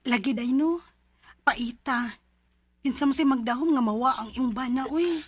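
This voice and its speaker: A woman's voice: sits high, normal speed, dry recording. A woman with a Cebuano Filipino accent playing a supportive, sympathetic neighbour in a radio drama.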